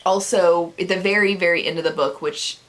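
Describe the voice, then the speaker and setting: A woman's voice: on the low side, steady tone, fairly quick. A woman chatting casually in a vlog as a book reviewer, giggly and breaking into laughter as she talks, with sarcastic asides. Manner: giggly, laughs through her sentences. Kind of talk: casual chat recounting a book's plot.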